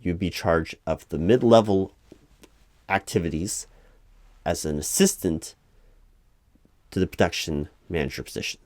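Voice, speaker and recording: A man playing an earnest, easily flustered corporate recruiting manager in a one-sided ASMR roleplay, speaking aloud in a halting, officious, repetitive way. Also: low voice, no echo. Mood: businesslike. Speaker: job interviewer.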